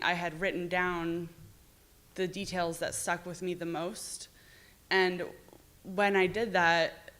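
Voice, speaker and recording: A woman's voice: lowish voice, fairly bright tone. An American woman novelist talking informally about her own work, candid and rambling, often saying "like". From New York City, United States. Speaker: novelist discussing own book. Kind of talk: informal author talk.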